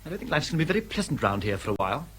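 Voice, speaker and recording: An actor playing a mild, uneasy British junior research man in a radio drama, with a husky voice. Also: fairly high pitch, very animated, very fast, a little hiss or hum.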